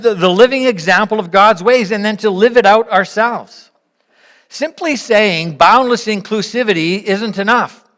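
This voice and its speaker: Woman preaching in a measured, earnest manner. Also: mid-range voice, a bit bright. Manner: measured, earnest, with rhetorical questions and pauses. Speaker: church speaker leading an interactive service. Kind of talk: sermon, reflecting on a parable and addressing the congregation.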